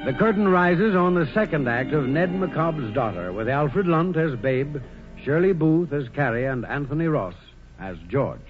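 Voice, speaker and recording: A man's voice: a little high, expressive, unhurried. A man with an American accent announcing a 1940s radio programme in a formal, declaiming manner. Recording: muffled old radio broadcast with music behind.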